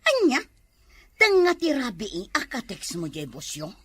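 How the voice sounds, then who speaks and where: A woman's voice: on the high side, wildly swooping pitch, unhurried, fairly bright tone, slightly rough, full character voice. A woman playing a suspicious, nagging wife in a comedy drama, scolding and questioning her husband. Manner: scolding, interrogating.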